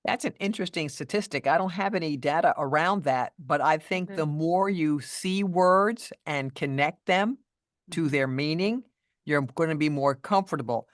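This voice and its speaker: Woman with a deeper voice. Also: no room sound, studio quality. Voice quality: deeper voice than many women. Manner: measured, thoughtful, with restarts and fillers. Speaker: podcast guest, voice coach. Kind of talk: casual interview conversation.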